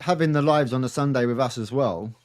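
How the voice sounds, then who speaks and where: A man co-hosting a casual live chat, cheerful and quick to agree. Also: medium pitch, a little mellow, no echo. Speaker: co-host.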